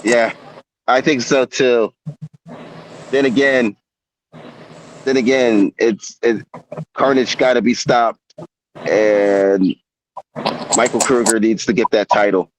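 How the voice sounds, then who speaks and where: A man's voice: medium pitch, fairly even intonation, takes it slowly, long pauses, a little hiss or hum, rough recording. A man in his forties, a guest in a casual interview, who talks in a laid-back way with stammering restarts. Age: 40s.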